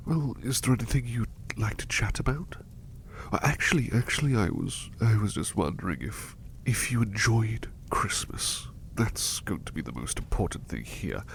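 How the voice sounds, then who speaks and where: A man voicing a sickly young male butler, speaking in a soft, whispery, courteous way close to the mic while resting in bed unwell. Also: on the low side, gravelly, slight background noise. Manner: courteous and formal, hesitant restarts. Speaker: voice actor. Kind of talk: ASMR roleplay.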